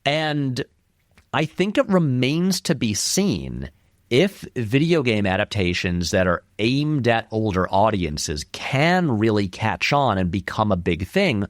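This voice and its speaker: A man's voice: lowish voice, sing-song, slow, no echo, clean studio sound. A man giving informal, discursive and emphatic commentary as an interview guest, in a conversational style. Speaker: interview guest, film critic and video essayist.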